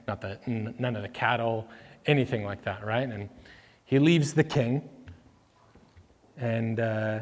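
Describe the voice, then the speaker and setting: A man's voice: medium pitch, darkish tone, in a large hall. A man preaching a conversational sermon into a microphone, with many fillers, acting out the people in the scripture he reads. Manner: conversational, filler-heavy, voices characters when reading. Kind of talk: casual sermon with scripture reading. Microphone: microphone that cuts out.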